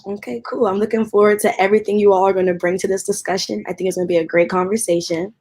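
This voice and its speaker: A woman's voice: mid-range voice, steady tone, fast, a little mellow. A young woman moderating an online youth panel and reading a prepared background introduction. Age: young adult. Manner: friendly, reads prepared background. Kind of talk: hosting a video-call panel.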